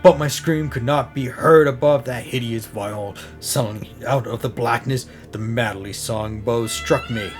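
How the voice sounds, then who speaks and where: A man reading a horror story aloud in a dramatic, intense style, swelling and dropping to hushed phrases. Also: medium pitch, moderate pace, hardly any pauses. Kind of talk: dramatic scripted audiobook narration of a horror story. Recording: music behind the voice. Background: instrumental music.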